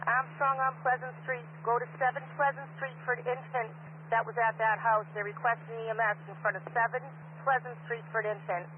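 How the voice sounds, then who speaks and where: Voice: medium pitch, level tone, brisk, hardly any pauses. Fire dispatcher on tinny, noisy radio. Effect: two-way radio. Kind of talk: terse emergency dispatch.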